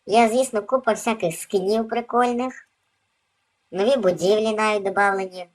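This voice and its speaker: A woman's voice: medium pitch, normal speed, low-quality recording. A woman hosting a gaming video with casual, off-the-cuff gameplay commentary.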